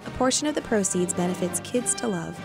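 A woman's voice: mid-range voice, lively intonation, brisk, creaky. A woman radio announcer reading a scripted community events notice. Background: music bed.

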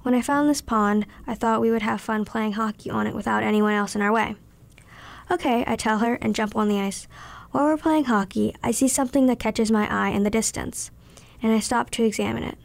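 A woman's voice: a little high, a little fast, gravelly. A young woman in her teens reading her own written story aloud as a scripted narration. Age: teenager.

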